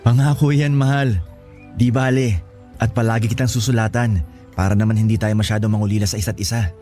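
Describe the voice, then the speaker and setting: A man's voice: on the low side, steady tone, fairly quick, mellow, faint music behind, close to an everyday voice. A man playing a devoted young boyfriend in a Filipino radio romance, speaking earnestly and tenderly. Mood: reassuring, tender. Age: young adult. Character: devoted young boyfriend leaving to study in the city. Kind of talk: Tagalog radio romance drama acting.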